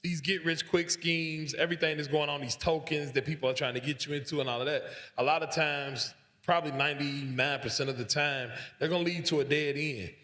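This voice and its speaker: A man's voice: on the high side, steady tone, fairly quick, pushed and bright. A man speaking on a conference panel, emphatic and conversational, often repeating his points. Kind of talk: panel discussion remarks. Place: conference hall. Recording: echoey conference hall.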